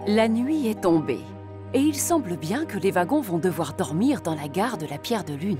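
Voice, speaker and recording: A woman narrates a children's cartoon in French. Her mid-pitched storytelling is lively and varied, with music clearly playing beneath. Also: normal speed.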